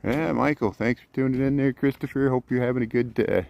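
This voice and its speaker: A man filming a walking tour outdoors on the grounds of a historic house, narrating loudly into a handheld phone mic and often repeating words. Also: medium pitch, steady tone, fairly quick, gravelly, dull audio. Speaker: vlogger touring a historic site.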